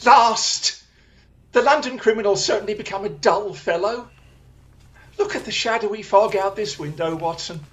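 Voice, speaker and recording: A woman's voice: mid-range voice, moderate pace, natural voice. A woman playing a restless, haughty male detective in an audio drama, with a theatrical, disdainful, declamatory way of speaking. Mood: restless, scornful. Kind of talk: radio-style audio drama acting.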